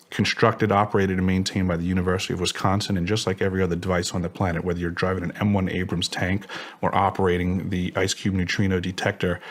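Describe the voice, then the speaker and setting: Male interview guest, low-pitched, assertive and technical in his phrasing, laying out claims as testimony. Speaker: interview guest, former contractor.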